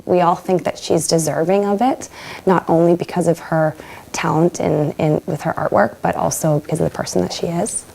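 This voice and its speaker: A woman teacher speaks earnestly in a measured interview. Her voice is low and gravelly, with a mellow, dark tone. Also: steady tone, poor audio. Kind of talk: documentary interview.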